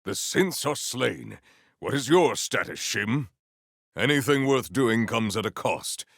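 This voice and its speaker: An actor playing a solemn, valiant warrior hero in a video game, speaking in formal, archaic, grandiose phrases. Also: male voice, medium pitch, lively intonation, slow, a bit bright, no echo, clean studio sound, full character voice. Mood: grave, triumphant. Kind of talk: theatrical video game character lines.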